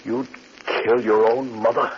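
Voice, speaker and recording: A man's voice: mid-range voice, level tone, unhurried, natural voice. An actor playing an earnest, restrained and worried man, a dutiful son, in 1940s radio drama style. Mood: firm. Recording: muffled, hissy 1940s radio broadcast.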